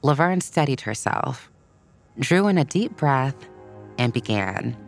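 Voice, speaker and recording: Narrator for kids' biography podcast: expressive, measured, animated melody, light music bed. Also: medium pitch, vocal fry. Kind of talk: scripted children's biography storytelling narration. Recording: soft music bed behind voice. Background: background music.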